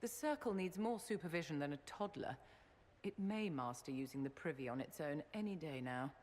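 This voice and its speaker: A woman's voice: deep voice, fairly quick, darkish tone, natural voice. A woman voicing a haughty, witty society lady mage in a video game, theatrical and condescending, with drawled endearments. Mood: dry disdain. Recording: clear background ambience noise.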